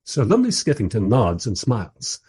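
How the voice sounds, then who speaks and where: A man's voice: medium pitch, wildly swooping pitch, moderate pace, darkish tone, slightly rough, full character voice. A man playing a wry, bemused first-person narrator in a radio play, telling the story in a dry, literary way.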